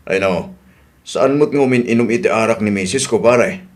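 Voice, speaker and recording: Radio drama role: stubborn drinking buddy, deep and pushy played by a man. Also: moderate pace, lightly acted. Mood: needling. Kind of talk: Ilocano radio drama acting.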